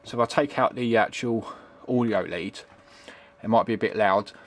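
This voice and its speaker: Man, middle-aged, lowish pitch, hesitant, rambling, casual homemade demo, talking behind a handheld camera. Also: moderate pace. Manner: rambling, hesitant, repeats himself with trailing tags like "I am", "it is". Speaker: hobbyist demonstrating retro computing. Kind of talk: casual unscripted tutorial. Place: indoors at a home computer desk. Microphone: handheld camera's built-in microphone.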